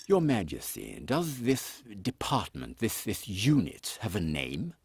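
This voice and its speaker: A man voicing an anxious, gentlemanly Victorian captain with an English accent and a lisp, formal and easily flustered in an audio comedy. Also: on the low side, wildly swooping pitch, a little slow, gravelly, breathy edge, no room sound, pitched below the performer's own voice, full character voice. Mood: deferential.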